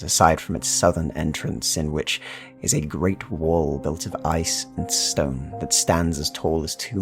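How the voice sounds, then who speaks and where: Deep-voiced man narrating fantasy. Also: creaky. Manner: measured, deliberate phrasing with dramatic pauses. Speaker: game master, narrator. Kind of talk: atmospheric fantasy storytelling narration.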